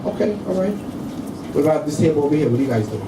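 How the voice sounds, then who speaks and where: A man's voice: on the high side, expressive, very fast, background noise. A middle-aged man with a New York accent performing live stand-up comedy, brash and profane, bantering with the crowd in a conversational way. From Brooklyn, New York, United States. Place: indoor comedy show in a cafe. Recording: echoey live room, miked from a distance.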